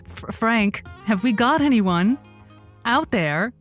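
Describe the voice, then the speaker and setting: A woman's voice: a little high, lively intonation, slow pace, soft background music. A woman playing an eager, inexperienced late-night radio host who rambles and stammers with chirpy politeness in an audio comedy. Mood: nervous, welcoming. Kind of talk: audio comedy drama acting.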